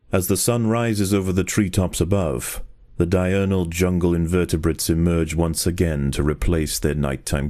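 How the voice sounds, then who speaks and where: A man with a very low, dark and mellow voice narrates in the manner of a nature documentary. His delivery is scripted and measured, with grave, dramatic weight. It suits the story narration of a game.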